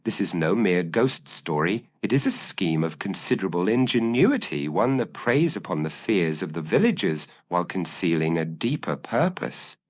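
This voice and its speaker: A man voicing a shrewd, confident gentleman detective in an audio story, speaking precisely and formally. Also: low-pitched, big pitch swings, moderate pace, few pauses. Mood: grave, certain.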